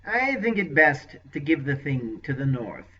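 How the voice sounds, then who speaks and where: A man voicing a scheming male sorcerer with a deeply nasal, unctuous, smug manner in an audiobook. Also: sing-song, measured pace, pushed and bright, far from the mic, heavily acted. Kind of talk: audiobook character voice. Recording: lo-fi dull recording.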